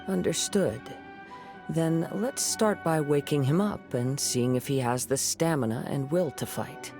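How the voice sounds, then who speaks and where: A man playing a young wandering swordsman in a video game, calm, courteous and level-headed, with composed speech. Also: fairly high pitch, measured pace. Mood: calm, decisive. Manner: composed, polite. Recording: music under the voice.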